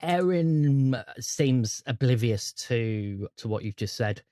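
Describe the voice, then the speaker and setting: A game master runs an improvised tabletop game. The voice is soft-toned and recorded very dry, giving instructions and narrating the scene. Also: medium pitch, expressive, slow, dry recording.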